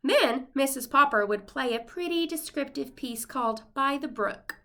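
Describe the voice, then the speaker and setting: A woman's voice: sits high, normal speed. A woman reading a children's storybook aloud expressively, like a teacher addressing young pupils. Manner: expressive, voices the characters' dialogue.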